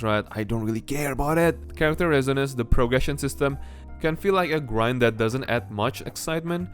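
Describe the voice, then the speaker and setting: A man delivers a casual video game review in a mid-pitched voice. He is blunt and conversational, dropping in offhand asides as he gives his opinions. Guitar music plays softly underneath.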